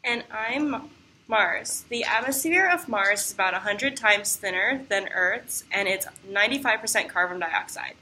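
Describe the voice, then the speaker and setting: Woman reading a kids lesson script, bright sharp voice, slight noise behind. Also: medium pitch, lively intonation, moderate pace. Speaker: puppet show presenter. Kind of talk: scripted educational narration. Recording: faint background noise.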